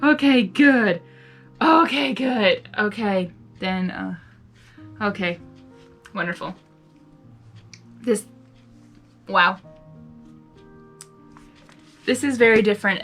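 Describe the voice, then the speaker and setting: A woman's voice: mid-range voice, sharp tone. Female fan-channel host, mid-range voice, informal and gushing, over faint music. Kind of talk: casual reaction chat between readings.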